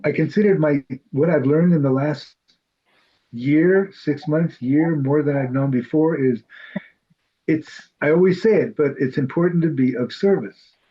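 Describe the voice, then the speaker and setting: A man's voice: on the high side, unhurried, lo-fi sound. A man from New York with a New York accent, chatting in a Bible study group in a chatty, self-deprecating way. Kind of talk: casual group discussion.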